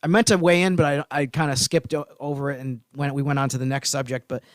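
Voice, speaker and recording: A man's voice: medium pitch, little pitch movement, fast talker, dry recording. A man co-hosting a sports podcast, talking in an earnest, easygoing way. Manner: earnest, easygoing, says "you know" a lot. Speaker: team reporter, podcast co-host. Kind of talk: casual sports podcast chat.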